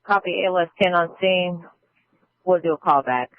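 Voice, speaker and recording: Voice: mid-range voice, moderate pace, no echo, narrow, tinny audio. A man working as a police dispatcher, speaking in terse radio calls over a scanner feed. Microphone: police radio channel.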